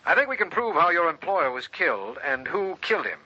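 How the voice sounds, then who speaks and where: An actor playing a confident district attorney in an old radio detective drama, heard through a telephone effect. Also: male voice, fairly high pitch, sing-song, a little fast, pushed and bright, full character voice. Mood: businesslike. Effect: telephone filter. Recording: thin phone line, old radio recording.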